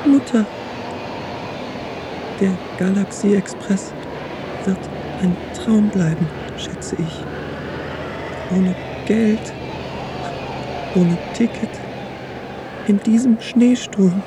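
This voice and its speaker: Slow-spoken, devoted young boy. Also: mid-range voice, noisy background. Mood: despairing, weak. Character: young boy Tetsudo, devoted, frightened son. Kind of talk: German radio play acting.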